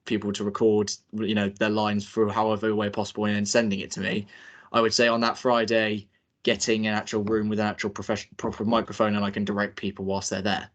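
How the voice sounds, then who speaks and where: A man's voice: lowish voice, monotone, quick pace, fairly bright tone. A young man directing a student film, talking hesitantly with many fillers. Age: young adult. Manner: hesitant, full of fillers and restarts. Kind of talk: casual planning call.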